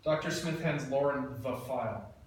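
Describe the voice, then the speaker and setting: A man's voice: medium pitch, normal speed. A man reading screenplay scene directions aloud at a table read, plain and matter-of-fact. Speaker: narrator. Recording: echoey room, mic far off, faint noise.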